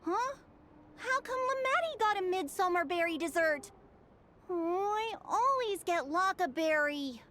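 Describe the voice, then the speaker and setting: A woman voicing a small, excitable, child-like girl in a video game, easily alarmed. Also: extremely high pitch, a little slow, exaggerated voice. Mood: puzzled.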